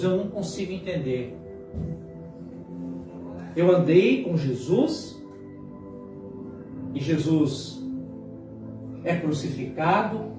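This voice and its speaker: A Brazilian man in his late fifties preaching a sermon as a pastor, using dramatic pauses and repetition for emphasis. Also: high-pitched. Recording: very echoey hall, distant mic, music behind. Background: music.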